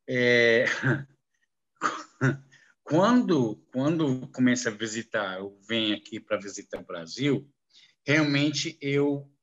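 A man speaking slow, halting, broken Portuguese as a non-native speaker, answering interview questions with many restarts. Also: medium pitch, fairly bright tone, muffled recording. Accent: foreign, non-native Portuguese. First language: not Portuguese. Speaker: interviewee, businessman.

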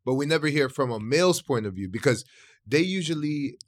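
A man's voice: fairly high pitch, lively intonation, brisk. A man co-hosting a podcast who cuts in with pointed questions during a casual discussion.

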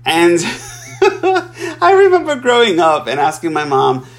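A man's voice: on the high side, unhurried. A man in his forties from Puerto Rico, telling his life story in a casual, chatty way and laughing often. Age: 40s. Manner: animated and chatty, laughs often, addresses listeners as y'all.

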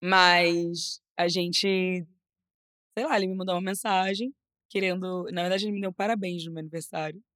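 A young woman from Rio with a Carioca accent, chatting as a podcast guest, animated, often restarting and repeating words. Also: on the low side, moderate pace, clean tone, no echo, studio quality. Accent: Carioca Portuguese. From Rio de Janeiro, Brazil. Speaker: model and influencer, podcast guest. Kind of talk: casual interview chat.

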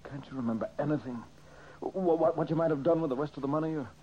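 An actor playing an earnest, urgent amateur detective in an old radio mystery, speaking with pressing concern. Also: male voice, on the high side, fast talker, close to an everyday voice. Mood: grave, concerned. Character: earnest, urgent male amateur detective protecting his friend. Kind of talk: 1940s radio mystery acting. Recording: muffled old radio, faint noise.

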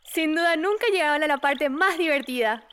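A woman playing a gentle, fearless young girl from the moon in an audio drama, calm and earnest. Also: high voice, normal speed, background noise, lightly acted. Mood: bright, reassuring.

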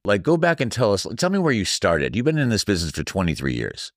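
A man's voice: lowish voice, huge pitch swings, fast talker, dry recording, studio quality. A man hosting an interview podcast in a bar, teasing and joking with his guest in casual chat. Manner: teasing and playful, jokes with the guest. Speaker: podcast host.

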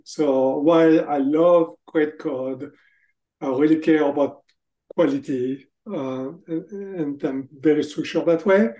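A middle-aged man from France speaks English with a clear French accent. His voice is a little higher than most men's yet dark and mellow in tone. He answers in a casual, halting way, often restarting his sentences. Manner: hesitant, restarts phrases, laughs between thoughts. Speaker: guest, tech executive.

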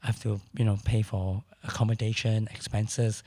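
A middle-aged man with a hoarse voice, speaking candidly as a podcast guest in casual conversation. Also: on the low side, little pitch movement, normal speed, mellow, no echo. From Malaysia. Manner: candid, matter-of-fact, occasional restarts.

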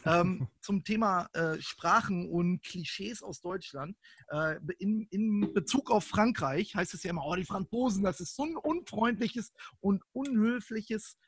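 A young man co-hosting a casual podcast, rambling and animated, with many restarts and fillers. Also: high-pitched, a little slow, slightly rough. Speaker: podcast co-host. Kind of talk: casual chat. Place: living in France for a year.

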